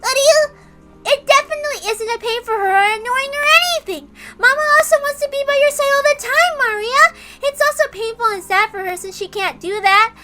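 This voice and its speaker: A woman voicing a small, childlike stuffed animal who gently consoles a friend in a story reading. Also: very high-pitched, brisk, soft background music, pitched above the performer's own voice. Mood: tender, pleading. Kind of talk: dramatic reading of a visual novel.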